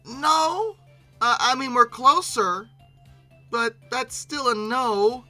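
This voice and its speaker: Bright, incredulous reaction commentator. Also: medium pitch. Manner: exasperated, incredulous, animated with abrupt outbursts; halting, repeating words when flustered. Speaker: video creator hosting a reaction commentary. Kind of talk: informal, unscripted reaction commentary.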